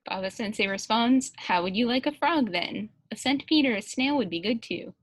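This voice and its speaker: A woman's voice: fairly high pitch, animated, moderate pace, no room sound. A woman on a casual panel, reading out translated answers and adding brief comments.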